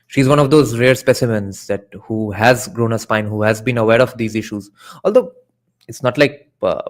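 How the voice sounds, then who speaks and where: A man hosting a casual reaction stream, thinking aloud and answering chat. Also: medium pitch. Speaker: podcast host reacting to a video.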